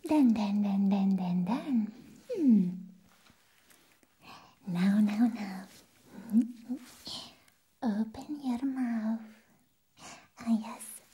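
A young woman playing an eerie, playful vampire nurse in an ASMR medical roleplay, speaking in a breathy whisper with lingering, repeated words and hums. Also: medium pitch, extremely slow, long pauses, dark tone, full character voice. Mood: attentive. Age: young adult. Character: eerie, playful female vampire nurse examining a human patient. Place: small room set up as a clinic. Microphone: close ASMR microphone.

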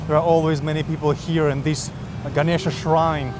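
A man's voice: on the high side, little pitch movement, moderate pace. Male vlogger, upbeat walking-tour narration, recorded outside with street noise. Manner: chatty, enthusiastic, speaks to viewers directly. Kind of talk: casual travel vlog narration. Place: outdoors on busy city streets. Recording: clear street noise behind. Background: traffic and street noise.

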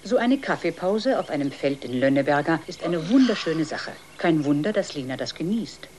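A woman's voice: low voice, animated, moderate pace, darkish tone, a little hiss or hum. A woman narrator telling a scripted children's story.

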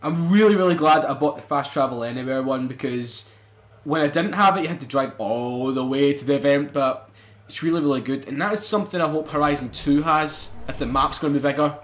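A young man commentating a racing game let's play, excitable and swearing, bursting into loud exclamations. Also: fairly high pitch, a little fast. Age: young adult. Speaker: gaming channel host.

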